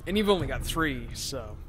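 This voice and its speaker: A man's voice: mid-range voice, big pitch swings, a little slow, slight background noise. A man chatting casually as a wry, joking co-commentator on a video game. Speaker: co-commentator on a let's play. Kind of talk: casual chat reacting to gameplay.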